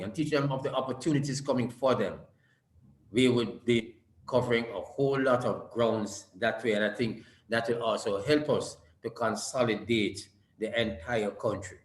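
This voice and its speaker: A man's voice: medium pitch, fairly bright tone. A man giving an earnest summit speech over a video call, often repeating words as he builds his points. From Jamaica. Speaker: summit speaker. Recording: roomy echo.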